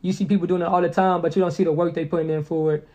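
A man's voice: on the high side, flat delivery, rapid-fire, dark tone. A man in his early twenties from Indianapolis, a podcast guest talking casually and earnestly, repeating himself for emphasis and using plenty of slang. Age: 20s. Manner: earnest, motivational, repeats phrases with lots of slang.

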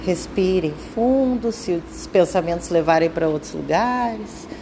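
Brazilian woman guiding meditation, background noise. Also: on the low side. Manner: calm, soothing instruction with drawn-out phrases. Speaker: meditation guide. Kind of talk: guided meditation.

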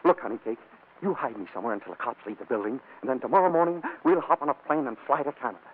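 An actor playing a desperate, sweet-talking husband on the run, earnest and coaxing, in a 1940s radio comedy. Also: male voice, mid-range voice, expressive, rapid-fire, natural voice. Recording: muffled old radio recording.

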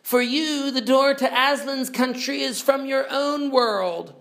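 Man voicing a high, measured little lamb. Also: creaky, a bit bright, exaggerated voice. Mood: solemn. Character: small snowy lamb, mysterious, gently solemn.

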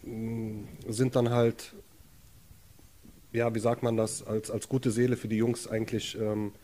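A man in his thirties from Lebanon, a native Arabic speaker, talking earnestly as an interview guest at home and pausing to search for words. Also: fairly low pitch, level tone, dark tone. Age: 30s. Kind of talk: casual interview. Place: his apartment.

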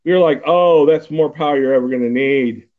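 A man's voice: on the high side, fairly quick. Rambling man in his forties, tinny line. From Texas. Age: 40s. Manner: rambling, many fillers and restarts. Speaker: podcast host. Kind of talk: casual chat.

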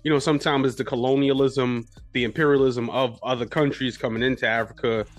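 A man's voice: medium pitch, fairly even intonation, a little fast. A man hosting a podcast, talking in a hyped, slangy, repetitive way in casual interview chat. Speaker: podcast host. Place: New York City radio studio.

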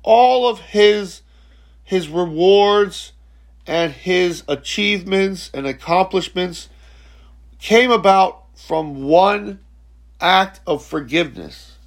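Man, 40s, high voice, gravelly, unhurried spiritual talk, halting with repeats. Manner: halting, repeats words, frequent "okay?". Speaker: spiritual speaker. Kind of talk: slow reflective teaching talk.

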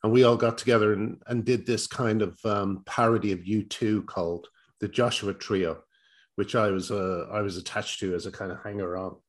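A man's voice: lowish voice, steady tone, moderate pace. A middle-aged Irish man speaking as a podcast guest, loose and conversational. He rambles through his stories with frequent restarts and dry, self-deprecating asides. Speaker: comedy writer, guest. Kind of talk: casual interview.

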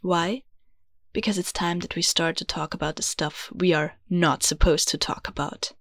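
A young woman from Austria with an Austrian accent, a native German speaker, hosting her own podcast in an earnest, confiding way and sometimes dropping into soft, low tones. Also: steady tone, moderate pace. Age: young adult. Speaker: podcast host. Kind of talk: scripted podcast monologue. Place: small closet.

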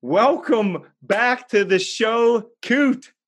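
A man's voice: very high voice, extremely slow. A man hosting a podcast, introducing his guest in an upbeat, effusive way. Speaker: podcast host.

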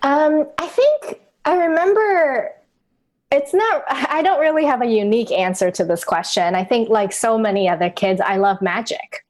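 A middle-aged woman from Taiwan, whose first language is Chinese, speaking as an interview guest in a reflective, searching way with many pauses and restarts. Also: fairly high pitch, a little mellow. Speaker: guest, author and podcaster. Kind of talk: conversational interview.

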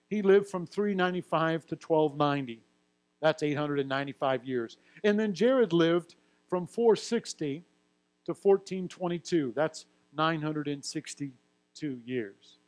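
A man with a somewhat high-pitched voice gives a teaching sermon. He reads long lists of names and ages from scripture, then explains them to the congregation in a plain, friendly way with occasional light humor. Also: measured pace. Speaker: pastor.

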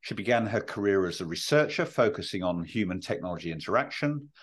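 A man's voice: fairly low pitch, expressive, fast talker, husky. A man hosting a podcast, who reads a formal guest introduction and asks long, polished questions. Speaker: podcast host. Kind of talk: scripted introduction and interview questions.

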